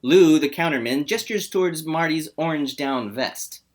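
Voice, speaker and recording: A man's voice: fairly high pitch, moderate pace. A man reads scene descriptions and stage directions from a script as narrator. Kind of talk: scripted narration, screenplay read aloud.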